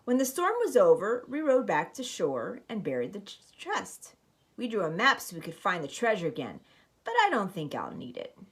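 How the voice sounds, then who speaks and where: A woman's voice: medium pitch, wildly swooping pitch, moderate pace, slightly rough. A woman speaking like a teacher at story time, explaining and narrating in an instructive, step-by-step way. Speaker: teacher reading a storybook and showing a craft. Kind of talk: read-aloud storytelling and casual how-to demonstration.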